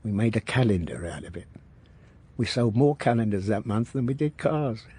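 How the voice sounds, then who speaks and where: An actor playing a boastful middle-aged working-class car dealer, reminiscing colloquially in a radio drama monologue. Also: male voice, lowish voice, animated, moderate pace, dark tone, slightly rough. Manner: colloquial, matter-of-fact storytelling.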